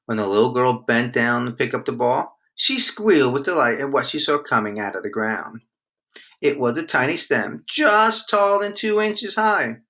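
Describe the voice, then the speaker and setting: A man reading a children's storybook aloud in an expressive storytelling manner. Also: mid-range voice, normal speed. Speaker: storybook reader.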